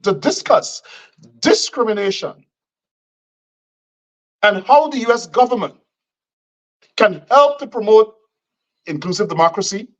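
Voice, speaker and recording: A man's voice: high voice, rough recording. A man from Guyana, delivering combative political commentary and repeating phrases for emphasis.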